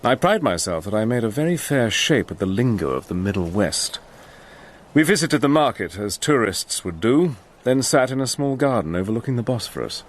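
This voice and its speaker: A man playing a brisk British officer-hero. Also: mid-range voice, very animated, slight background noise, close to an everyday voice. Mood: narrating. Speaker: actor in radio drama. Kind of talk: radio drama acting and narration.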